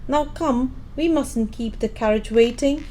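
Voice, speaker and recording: A sweet young sister played by a woman in an audio play. She speaks in a fairly high voice, earnest and gushing with affection. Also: measured pace, mellow, a little hiss or hum. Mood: eager, affectionate.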